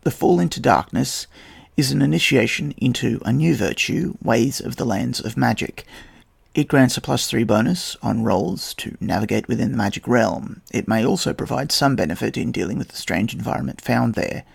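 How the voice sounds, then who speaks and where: A male podcast host reads a scripted narration with a heavily gravelly, fry-laden voice. He sounds slightly croaky and a little husky. His delivery is measured and evocative, like someone telling a story. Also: mid-range voice. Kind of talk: scripted narration on history and tabletop role-playing.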